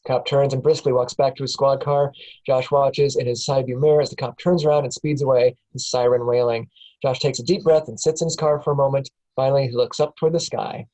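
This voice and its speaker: A man reads screenplay action lines as narrator. His voice is mid-pitched and plain, with a matter-of-fact scripted delivery. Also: steady tone, brisk, warm tone, rough recording. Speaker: narrator reading stage directions. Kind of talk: scripted narration at a table read.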